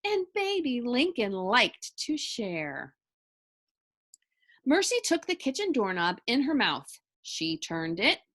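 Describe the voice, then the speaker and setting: A woman reading a children's story aloud with expressive narration. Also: on the high side, slow pace.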